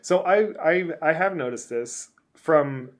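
A man's voice: on the high side, expressive, slow pace. A man co-hosting a birding podcast in casual chat, rambling with restarts and joking about himself. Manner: rambling, self-mocking, many restarts. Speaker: podcast co-host.

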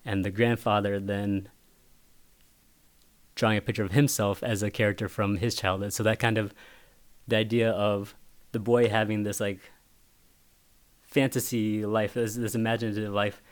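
A man whose first language was Vietnamese, a picture book author talking casually in an interview, thoughtful and halting with many restarts. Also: lowish voice, level tone, clear, resonant tone.

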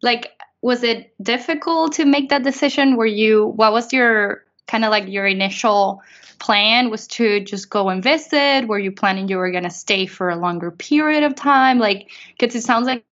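A woman's voice: on the high side, moderate pace, fairly bright tone. A woman interviewer asking rambling questions full of likes and restarts. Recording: dull lo-fi sound.

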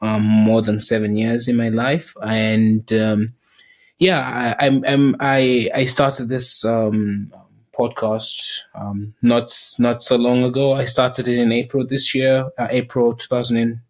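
Even-toned Southern African man hosting. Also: mid-range voice, takes it slowly. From Zimbabwe, grew up in South Africa. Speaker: podcast host. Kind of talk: casual podcast chat.

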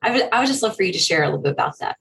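A woman's voice: fairly low pitch, animated, very fast, vocal fry, a bit bright. A woman hosting an interview, asking questions and responding in an enthusiastic, affirming way. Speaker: interviewer host.

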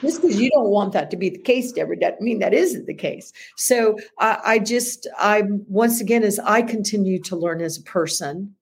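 Casting: woman podcast co-host, husky tone, lively storyteller, talks things through out loud. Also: mid-range voice, moderate pace, few pauses. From United States. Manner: animated, self-questioning storytelling. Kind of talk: conversational podcast talk.

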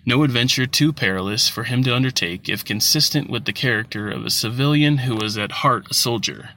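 A male narrator reads classic short fiction. His voice is bright and forward at a middle pitch, and his delivery is formal and measured, with the odd small stumble. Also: a little hiss or hum. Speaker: audiobook narrator. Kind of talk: scripted literary short story narration.

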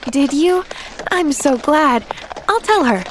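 A woman's voice: high voice, expressive, normal speed, background noise, pitched above the performer's own voice. A woman voicing an eager, sweet, chatty little girl in a storybook, earnest and exclamatory. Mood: bright, earnest. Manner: earnest, exclamatory, hesitant when troubled.

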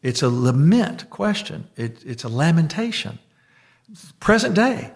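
A man chatting on a podcast, rambling with many restarts and trailing off softly at the ends of thoughts. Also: a little high, very animated, measured pace, creaky. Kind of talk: casual conversational chat.

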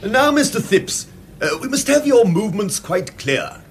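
An actor playing an officious, stern coroner, formal and pompous in a radio drama. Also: male voice, high voice, big pitch swings, unhurried, a little hiss or hum.

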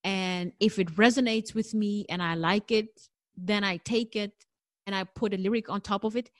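A woman's voice: mid-range voice, fairly even intonation, normal speed, no echo. South african woman, english not her first language, chatty and candid about her music, dead dry sound. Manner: thoughtful, candid, laughs easily. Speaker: jazz singer guest. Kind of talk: conversational interview.

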